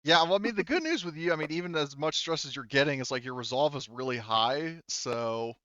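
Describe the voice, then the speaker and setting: A man's voice: a little high, animated, a little fast, pushed and bright, dry recording, muffled recording. A man acting as game master, casually guiding players through a tabletop roleplaying game.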